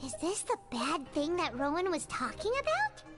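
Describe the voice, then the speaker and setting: A woman's voice: sits high, wildly swooping pitch, moderate pace. A woman voicing a tiny, chatty, dramatic fairy companion who talks about herself in third person, in game dialogue. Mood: baffled. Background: game music.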